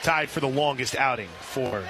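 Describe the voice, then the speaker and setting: An American man calling live softball play-by-play on the radio from an outdoor ballpark. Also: medium pitch, normal speed, noisy background. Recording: loud outdoor ballpark ambience.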